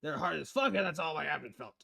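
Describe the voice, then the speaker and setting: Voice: mid-range voice, expressive, very fast, gravelly, bright, forceful tone, heavily acted. A streamer voicing a blunt, pompous, middle-aged male newspaper editor in a visual novel game. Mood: brash, insulting.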